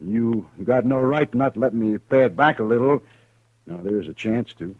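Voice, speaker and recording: A man's voice: medium pitch, normal speed, natural voice. An actor playing a grown male drifter gunman with a wry, drawling way of spinning tall tales, in a 1950s radio western. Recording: dull old radio broadcast.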